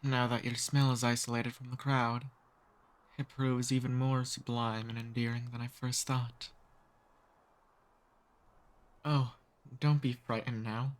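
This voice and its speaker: A man's voice: medium pitch, flat delivery, natural voice. Man playing a courtly, seductive male vampire. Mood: predatory, soothing. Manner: courtly, slow seductive teasing. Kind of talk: ASMR roleplay, intimate address to listener.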